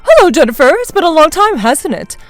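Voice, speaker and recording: Bossy older mother-in-law played by a woman narrator, high and loud, scolding and demanding, for a family drama story. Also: very animated, a little fast, no echo, soft background music. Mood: bossy, complaining. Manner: demanding, accusatory, shouted scolding.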